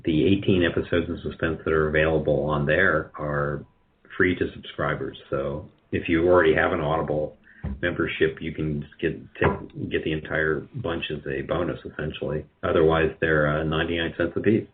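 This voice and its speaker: A man giving casual interview answers in a halting, self-deprecating way over a phone-like connection. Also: rumbling low, fairly even intonation. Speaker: guest, radio drama producer. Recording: tinny phone-like line.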